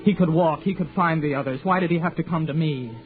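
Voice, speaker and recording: Male actor as shy, bitter, lovelorn young American who stammers; higher-pitched voice, 1940s radio drama, tinny sound with music behind. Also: fast, natural voice. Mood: wistful, bitter. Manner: stammers when flustered.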